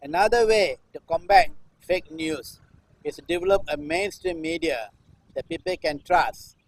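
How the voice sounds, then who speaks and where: Higher-pitched older man, chant-like reciting. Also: low-quality recording. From Singapore. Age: 60s. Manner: repetitive, chant-like refrains with deliberate phrasing. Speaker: performance artist. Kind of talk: live performance recitation of a political text.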